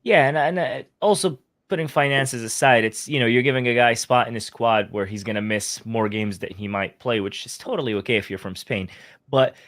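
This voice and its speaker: A man's voice: medium pitch, fairly quick, fairly bright tone. A man co-hosting a sports podcast, giving his opinions in a casual, conversational way. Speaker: podcast co-host.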